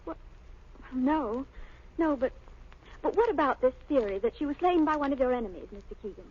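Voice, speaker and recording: Eager girl investigator. High voice, nervy, hesitant; dull vintage radio sound. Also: expressive, brisk. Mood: tentative, probing. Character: earnest, nervy female investigator posing as reporter. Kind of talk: old-time radio drama acting. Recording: dull, noisy old radio recording.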